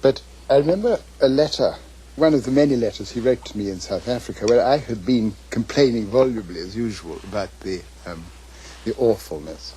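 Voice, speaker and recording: An older man with an English accent, reminiscing and reading letters aloud in a measured, emphatic, literary way. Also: medium pitch, lively intonation, dark tone, a little hiss or hum, rough recording. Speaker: author's son giving reminiscences and readings.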